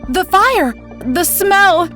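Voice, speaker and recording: An actress voices a frightened woman scientist in an old-time radio horror tale. Her voice is high and her delivery slow and dramatic, insistent and pleading. Soft music plays underneath. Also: lively intonation, exaggerated voice. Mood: haunted dread.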